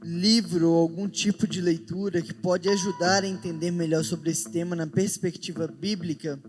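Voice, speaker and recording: Lower-voiced woman moderator. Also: steady tone, normal speed, darkish tone. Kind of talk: asking panel questions. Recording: music playing underneath.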